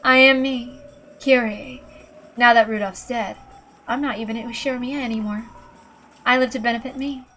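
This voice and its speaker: A woman voicing a calm, calculating female killer, mocking and matter-of-fact, in a visual novel reading. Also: on the high side, normal speed, long pauses, a little hiss or hum, lightly acted. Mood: self-assured.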